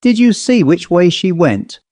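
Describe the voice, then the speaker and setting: A man voicing a perplexed, brisk upper-class gentleman in an audiobook story, speaking in an educated, musing way. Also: high-pitched, big pitch swings, no room sound, clean studio sound, voice pushed up. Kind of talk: audiobook character voice.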